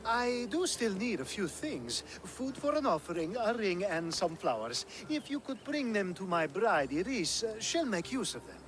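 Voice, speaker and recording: An actor playing a flamboyant, flirtatious ancient Greek groom-to-be in a video game, with a theatrical, teasing way of drawing out words. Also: male voice, high voice, sing-song, a little hiss or hum, poor audio. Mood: dreamy, charming. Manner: theatrical, drawn-out, teasing delivery. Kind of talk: video game cutscene acting.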